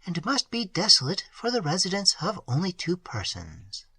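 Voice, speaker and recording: A reader voicing a shy, gentle young woman who speaks softly and politely. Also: lowish voice, huge pitch swings, moderate pace, husky, no echo, voice pushed up, heavily acted. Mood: timid. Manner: soft, timid, polite. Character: timid young lady, gentle and curious. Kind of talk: audiobook character reading.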